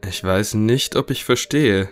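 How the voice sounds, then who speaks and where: Male actor as a scientist, low voice, polite questioning, audio drama, faint music behind. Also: measured pace, close to an everyday voice. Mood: calm, inquiring. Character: male research scientist, polite clinical interviewer.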